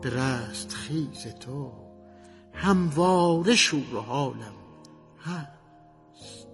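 A man reciting a poem slowly and dramatically, letting each line end fade into breath. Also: a little high. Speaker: poetry reciter. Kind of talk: slow dramatic poetry recitation over music.

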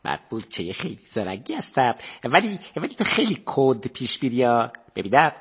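Brief: husky, very low boy ant, a woman voicing, lazy and smug, children's radio tale. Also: very animated, exaggerated voice. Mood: lazy teasing. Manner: easygoing, teasing. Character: lazy boastful young male ant. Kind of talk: children's radio story acting.

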